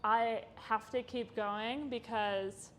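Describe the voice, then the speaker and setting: A woman's voice: fairly high pitch, steady tone, slow, fairly bright tone, a little hiss or hum. A woman in her twenties from Chicago, a podcast guest talking casually with lots of "like" fillers and laughter. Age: 20s. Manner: chatty, laughing, frequent "like" fillers. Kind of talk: casual interview chat.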